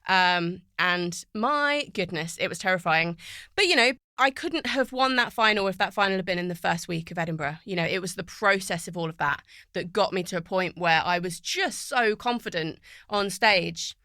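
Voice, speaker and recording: A woman talks on a podcast in a recording studio, and her voice is bright and forceful with a smooth, resonant clarity. she tells her story fluently and reflectively. the sound is dry and close, with no room echo. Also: lowish voice, normal speed. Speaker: podcast guest, poet and TV writer. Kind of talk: casual podcast conversation.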